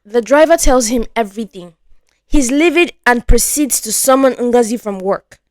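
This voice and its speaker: A woman's voice: fairly high pitch, moderate pace, no room sound. A woman narrating a scripted story as a storyteller.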